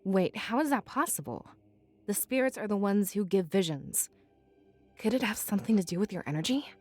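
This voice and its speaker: A woman playing a gentle, earnest young furry companion in a visual novel, speaking with thoughtful concern. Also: medium pitch, lively intonation, a little fast, faint music behind, natural voice. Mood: curious, thoughtful.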